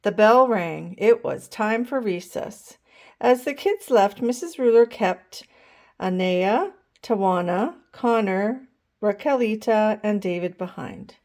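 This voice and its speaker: A woman reads a picture book aloud to children at an unhurried pace. Her pitch moves around in a lively way, and she is expressive, stopping now and then to speak to her young listeners. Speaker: storytime reader.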